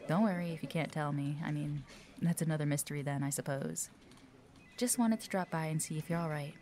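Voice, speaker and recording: Woman acting as a chatty supportive office lady, lowish voice, audio roleplay drama. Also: a little fast, dark tone, slight background noise. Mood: caring, curious. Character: friendly, chatty, curious female office worker, former assistant.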